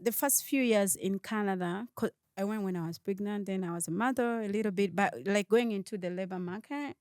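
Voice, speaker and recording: A woman guest on an interview, explaining things in a measured way with frequent restarts. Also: mid-range voice, darkish tone, no room sound, studio quality. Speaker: guest advising on moving to Canada. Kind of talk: conversational interview answers.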